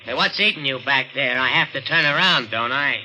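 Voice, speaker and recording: An actor playing a gruff, menacing cab driver with an American accent in a 1950s radio drama, curt, slangy and sneering. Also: male voice, medium pitch, fairly quick, heavy background noise, natural voice. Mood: surly. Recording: old radio broadcast with music.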